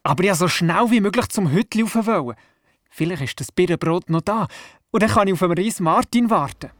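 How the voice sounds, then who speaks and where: A man's voice: high-pitched, fairly quick, a bit bright, no echo, studio quality. An actor playing a curious, eager young grandson who tells his story earnestly in a children's radio play, with a Swiss German accent. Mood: eager, narrating. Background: music.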